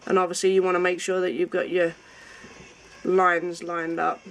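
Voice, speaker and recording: A woman chatting with a friend in a home craft room, calmly explaining the gluing steps of a paper craft. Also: on the low side, normal speed, faint music behind, poor audio. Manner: relaxed, adds short explanations. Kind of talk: casual chat during a craft tutorial.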